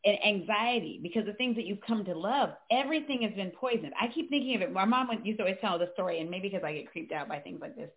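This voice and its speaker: A woman's voice: medium pitch, fast talker, few pauses. A woman co-hosting a casual discussion, chiming in and reading questions from the chat. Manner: conversational, chiming in with agreement. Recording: tinny phone-like line.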